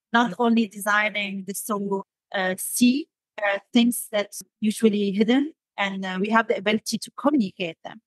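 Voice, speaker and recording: A woman's voice: mid-range voice, no echo, rough recording. A woman from Syria in English, speaking thoughtfully as an interview guest and often searching for words. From Damascus, Syria. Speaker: podcast guest, designer.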